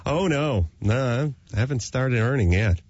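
A middle-aged man hosting a podcast, telling his own story in an animated, self-deprecating way. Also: medium pitch, unhurried, slightly rough, dry recording. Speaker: podcast host, comedian. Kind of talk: casual podcast chat. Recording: slightly dull, dry podcast audio.

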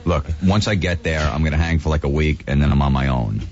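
A man's voice: extremely low pitch, fast talker. A man doing a crude, pushy prank caller. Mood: cocky. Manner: pushy, boastful, cuts in. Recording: muffled phone call with faint hiss.

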